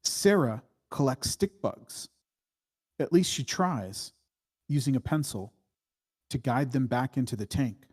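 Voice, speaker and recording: A man reading screenplay stage directions as scripted narration in a measured, matter-of-fact way. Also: medium pitch, lively intonation, darkish tone, slightly rough.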